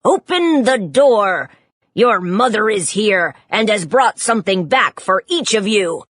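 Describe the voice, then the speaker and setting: A woman's slow, rough, sly storybook wolf. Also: mid-range voice, expressive, fairly bright tone, no room sound, clean studio sound. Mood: coaxing. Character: sly, rough-voiced male wolf posing as a mother. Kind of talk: children's storybook character voice.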